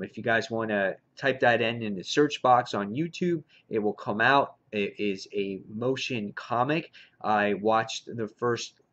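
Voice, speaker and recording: A man's voice: mid-range voice, fairly bright tone. Dull lo-fi recording, enthusiastic male vlogger. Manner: enthusiastic fan talk. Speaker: comic book reviewer hosting a haul video. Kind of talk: casual vlog chat, showing and commenting on purchases. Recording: dull lo-fi sound.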